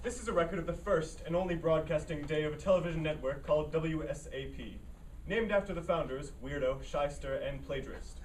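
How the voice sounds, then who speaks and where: A man's voice: a little high, fairly quick, close to an everyday voice. A man playing the matter-of-fact narrator of a comic play, reading scripted stage directions. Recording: distant mic, faint room noise.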